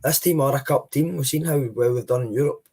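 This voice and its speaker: A man's voice: medium pitch, quick pace, a little mellow, husky. A man with a Scottish accent giving blunt, frank opinions as a guest on a casual football podcast. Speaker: podcast panelist.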